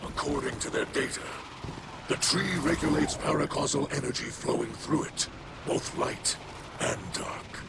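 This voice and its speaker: An actor voicing a solemn, dutiful alien captain who gives mission briefings formally and precisely in a game. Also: male voice, on the high side, unhurried, gravelly, very noisy. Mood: grave, focused.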